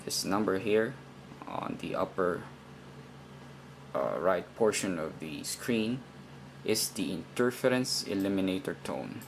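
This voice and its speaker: Slow, low-pitched man explaining step by step. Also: animated, gravelly, slight background noise. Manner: halting, deliberate, repeats himself. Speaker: instructor in a how-to video. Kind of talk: informal step-by-step tutorial.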